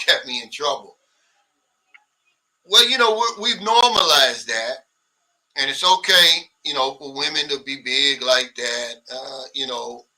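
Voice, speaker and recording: A man's voice: fairly high pitch, sharp tone, far from the mic, rough recording. A man in his sixties hosting a casual livestream, reading viewer comments aloud and answering them bluntly, sometimes mimicking others' complaints. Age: 60s. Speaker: livestream host.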